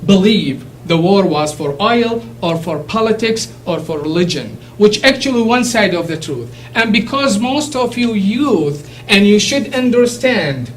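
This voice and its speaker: Iraqi-accented man, native Arabic speaker, addressing a class, fairly high voice, earnest and emphatic, recorded from a distance with faint hum. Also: expressive. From Iraq. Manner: earnest, emphatic, halting with restarts. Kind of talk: impassioned public speech. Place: classroom or lecture hall.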